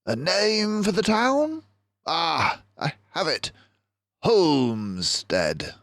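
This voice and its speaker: A keen, confident little mouse detective from a children's storybook, acted out by a male reader. The voice sits far higher than a man's usual talk and pronounces each remark with brisk, theatrical precision. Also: very animated, vocal fry, a bit bright, no echo, full character voice. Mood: excited, decisive.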